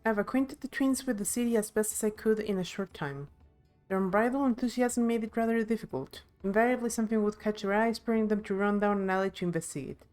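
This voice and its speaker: A woman's voice: medium pitch, fast talker, darkish tone, faint music behind, close to an everyday voice. A woman voicing a composed, wry, long-suffering companion in game dialogue.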